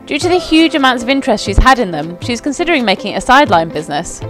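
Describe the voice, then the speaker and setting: A woman's voice: a little high, sing-song, rapid-fire. Upbeat woman narrating over music. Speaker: company presenter. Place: outdoors at a flower show.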